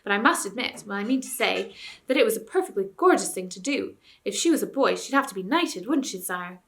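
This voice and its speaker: A woman reading aloud, voicing a prim, quick-tempered schoolboy who talks in a fussy, indignant way. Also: mid-range voice, lively intonation, close to an everyday voice.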